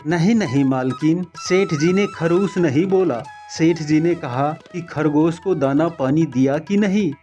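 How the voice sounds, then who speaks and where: A man acting a humble, higher-voiced servant. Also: unhurried, few pauses, warm tone, soft background music, lightly acted. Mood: humble, pleading. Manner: deferential, pleading. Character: humble, long-suffering poor household servant. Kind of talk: animated moral story dialogue.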